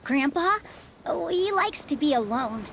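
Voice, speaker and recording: A woman's voice: high-pitched, animated, unhurried, creaky, slight background noise, heavily acted. A woman voicing a timid, earnest young village child in a video game, with a hesitant way of talking.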